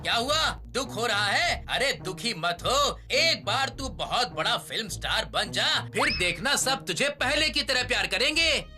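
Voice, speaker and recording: A cocky teenage show-off in a cartoon, talking big in a high, boyish voice. Loud music plays under his lines. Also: very animated, a little slow, few pauses. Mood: swaggering. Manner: swaggering. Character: show-off teenage boy, boastful and reckless. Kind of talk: cartoon voice acting.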